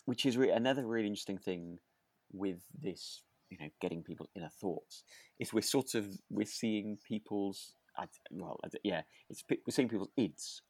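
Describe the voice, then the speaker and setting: A man with a low voice talks casually as a podcast co-host. He feels his way through sentences, rambling and restarting as he reviews a drama. The sound is dry, with very little room. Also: moderate pace, a little mellow, dry recording. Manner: hesitant, rambling, self-correcting.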